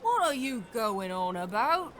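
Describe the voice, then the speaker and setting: A brash young street girl, cheeky and inquisitive. Her high voice jumps around in pitch, radio-play style, over faint street noise. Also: very animated, a little slow, a bit bright. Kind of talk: audio drama acting.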